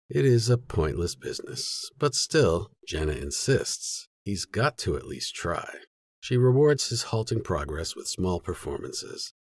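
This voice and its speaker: A man narrates literary fiction in a low voice with a husky grain. His delivery is measured and sober, yet his pitch moves in a lively way through the sentences. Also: dry recording, studio quality. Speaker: audiobook narrator.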